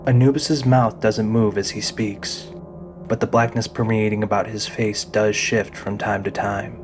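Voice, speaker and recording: Male narrator for a scripted audio drama, lower-pitched, reading prose with a prominent music bed underneath. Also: little pitch movement, a little slow.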